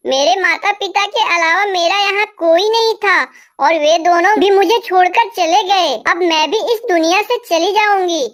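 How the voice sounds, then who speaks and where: A woman's voice: normal speed, fairly bright tone. A tiny orphan bird girl. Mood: despairing. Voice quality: high childlike voice. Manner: plaintive, tearful pleading. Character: young female bird, poor orphan girl, pleading. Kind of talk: Hindi cartoon dubbing.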